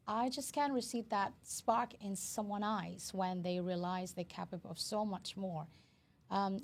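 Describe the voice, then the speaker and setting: A woman's voice: mid-range voice, a little mellow. A woman in her thirties with a Vietnamese accent, telling her story earnestly as an interview guest in non-native phrasing. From Vietnam, Hanoi. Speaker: interview guest, educator and CEO.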